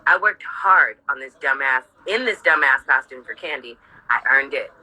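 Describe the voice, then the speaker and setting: A woman with a husky voice reading her own memoir aloud informally, candid, sardonic and sweary, breaking off for asides. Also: extremely low pitch, lively intonation, normal speed, sharp tone, low-quality recording.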